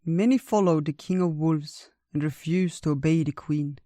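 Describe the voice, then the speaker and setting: A storyteller voicing a wise, gentle old male bear in a theatrical, drawn-out way for a children's tale. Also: medium pitch, dry recording, studio quality, close to an everyday voice. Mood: solemn, reminiscing. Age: older.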